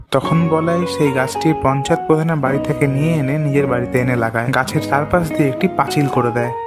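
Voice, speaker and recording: A man narrating a scripted moral tale for a cartoon. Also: mid-range voice. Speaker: story narrator. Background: music.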